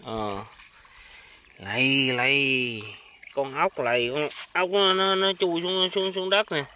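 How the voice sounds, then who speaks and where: A man's voice: a little high, steady tone, slow, sharp tone, slight background noise. A man with a southern Vietnamese accent chatting casually from behind a handheld phone outdoors, often repeating short phrases. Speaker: vlogger filming shrimp and snail catching. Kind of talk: casual chat while filming. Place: outdoors on a muddy pond bank. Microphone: handheld phone, speaking from behind the camera.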